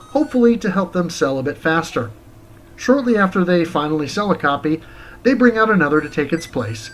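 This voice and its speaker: A man's voice: a little high, rough recording. A man hosting a show, reading a scripted video game review as narration.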